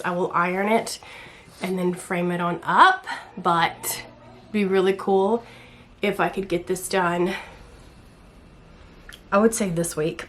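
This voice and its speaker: Chatty Southern American woman, noisy home recording. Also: on the low side. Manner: chatty, rambling, slangy. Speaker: book and craft vlogger. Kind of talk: casual vlog chat.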